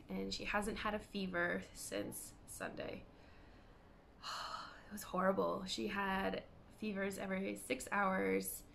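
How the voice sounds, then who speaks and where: Chatty woman, slow and gravelly. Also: mid-range voice, a bit bright, slight background noise. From Canada. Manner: chatty, meandering, self-correcting. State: hoarse with a cough from a cold. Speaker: knitting podcast host. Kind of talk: casual chat to camera. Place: living room by a window, indoors.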